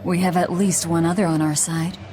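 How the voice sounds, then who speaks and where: A woman voicing a grim, hard-bitten young outlaw in a video game, curt and deadpan. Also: on the low side, a little fast, faint music behind, natural voice. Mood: cool, calculating.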